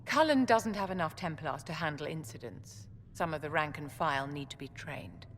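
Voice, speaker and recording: An actress playing a haughty, elegant court mage in a video game, measured and condescending. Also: female voice, deep voice, lively intonation. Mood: cool, commanding. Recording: reverberant hall, faint background noise.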